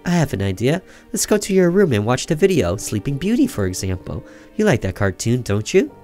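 A man's voice: mid-range voice, big pitch swings, a little fast, mellow, faint music behind, pitched above the performer's own voice, natural voice. A man voicing an earnest boy, a frightened but protective older brother, in a horror visual novel. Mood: soothing.

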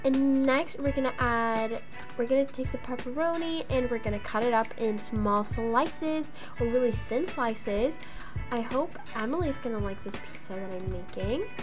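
A woman's voice: fairly high pitch, normal speed. A young woman with a girlish, chatty manner, narrating a voiced roleplay gameplay video. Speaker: gaming video creator. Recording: voice over background music. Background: music.

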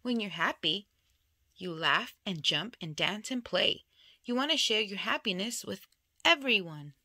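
A woman reading a children's picture book aloud in an expressive storytelling style. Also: medium pitch, a little slow, no room sound. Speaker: storybook reader.

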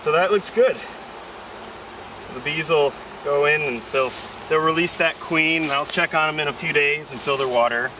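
Casual first-timer, male, a touch higher voice, outdoor camera audio from a few steps away with buzzing bees. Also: normal speed, far from the mic, background noise. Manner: hesitant, unsure, self-deprecating. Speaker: first-time beekeeper. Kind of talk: casual how-to narration while working. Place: outdoors in a leafy yard.

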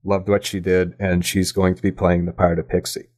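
A man speaking as a guest in a casual interview, halting and self-effacing as he thinks aloud. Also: low-pitched, flat delivery, a little mellow. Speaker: podcast guest, audio drama creator.

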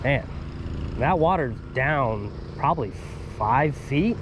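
A man's voice: medium pitch, expressive, takes it slowly. A man in his thirties chatting casually for an outdoor fishing vlog, laid-back and given to swearing. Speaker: vlog host, angler. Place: outdoors by river, woods and pond. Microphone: action camera on body or at arm's length. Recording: outdoor action-camera sound with faint ambient noise.

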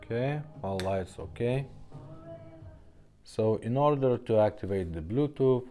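A man with a low, mellow voice walks through a product demonstration casually. He speaks slowly and haltingly, explaining one step at a time in a low-key manner. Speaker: presenter demonstrating a restored car radio.

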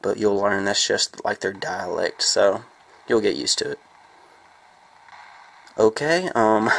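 A man's voice: lowish voice, creaky, fairly bright tone. A man with a stuffy, congested voice from a sinus infection, casually talking through a game as he plays it, with rambling and many fillers. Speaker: gameplay reviewer. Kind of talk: casual gameplay commentary.

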